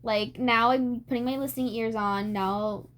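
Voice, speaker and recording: A woman's voice: on the high side, fairly even intonation, normal speed, fairly bright tone. A twenty-year-old woman in a casual podcast chat, talking in a giggly, rambling way with lots of "like". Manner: giggly, rambling, heavy use of "like". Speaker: podcast host.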